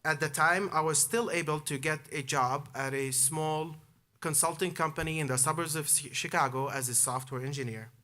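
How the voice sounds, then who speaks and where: A man's voice: a little high, steady tone, normal speed, pushed and bright. A man in his thirties from Jordan delivering a prepared commencement speech built on his personal story. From Amman, Jordan. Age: 30s. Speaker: commencement speaker, alumnus.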